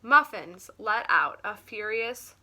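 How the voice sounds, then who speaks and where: Slow, animated woman reading a storybook. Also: mid-range voice. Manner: animated, deliberate. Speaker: teacher reading a picture book aloud. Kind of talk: expressive children's story reading.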